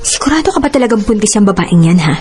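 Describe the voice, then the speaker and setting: A woman's voice: medium pitch, very animated, very fast, darkish tone, close to an everyday voice. A woman with a Filipino accent playing a protective, forgiving elder sister in a radio drama, earnest and admonishing. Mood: suspicious. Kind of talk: Tagalog radio drama acting. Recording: music bed under voice.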